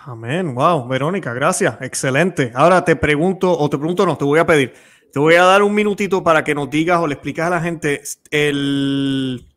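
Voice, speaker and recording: A man in his thirties with a slightly high voice hosts a live-stream interview from a room at home. He sounds friendly and relaxed as he takes audience questions, speaking close to a studio mic. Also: normal speed. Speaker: host. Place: room at home with religious images. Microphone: studio mic close to mouth.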